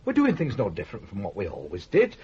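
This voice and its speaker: An actor playing a gloomy, complaining son with a Northern English accent in a radio drama, his voice rough and husky. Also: lowish voice, huge pitch swings, fast, dark tone, muffled recording, exaggerated voice. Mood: aggrieved. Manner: aggrieved, complaining. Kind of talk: 1980s British radio drama acting.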